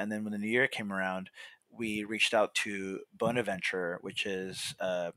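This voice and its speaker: Youngish american guy, lowish voice, chatty podcast storytelling, very dry close sound. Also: fairly bright tone, no room sound. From Monrovia, California. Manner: chatty, peppers talk with "like" and "you know". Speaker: podcast guest, coffee roaster. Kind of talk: casual conversational storytelling.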